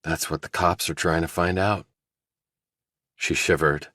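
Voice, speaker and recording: A man narrating a thriller audiobook, reading scripted prose and character dialogue in a deep voice. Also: fairly even intonation, measured pace, pushed and bright, no echo, clean studio sound.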